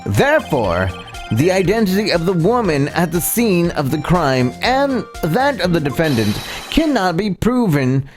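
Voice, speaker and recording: A woman's voice: fairly low pitch, sing-song. Woman voicing a stammering male courtroom lawyer, music behind. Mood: firm. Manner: halting and stammering, repeats words. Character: flustered, stammering male defense attorney pressing a witness. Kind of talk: amateur voiced playthrough of courtroom game script.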